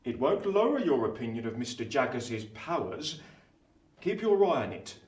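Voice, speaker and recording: A man playing a dry, deadpan Victorian law clerk, speaking deliberately in a radio play. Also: mid-range voice, distant mic, lightly acted. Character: dry, matter-of-fact male London law clerk. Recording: echoey room, off the mic.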